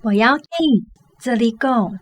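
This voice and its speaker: A woman playing a patient young woman in a radio drama, restrained and measured as she holds in her feelings. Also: on the high side, no room sound, natural voice. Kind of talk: Taiwanese radio drama acting.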